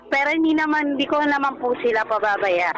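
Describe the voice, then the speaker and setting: A woman's voice: sits high, lively intonation, fast. A Filipino woman speaking politely and personally as a caller on a radio phone-in show. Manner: polite, deferential speech. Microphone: phone call. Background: background music.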